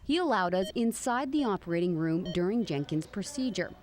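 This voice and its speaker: A woman's voice: mid-range voice, expressive, a little fast. A woman with an American accent reading scripted news narration as a reporter.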